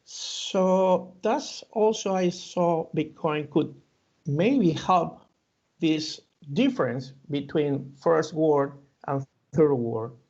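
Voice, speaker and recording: Interview guest, male, Cuban Spanish accent, slow and halting, raised pitch, gravelly, dull lo-fi sound. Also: a little mellow. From Cuba. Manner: halting, many fillers and restarts. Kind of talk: hesitant conversational interview answers. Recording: dull lo-fi audio.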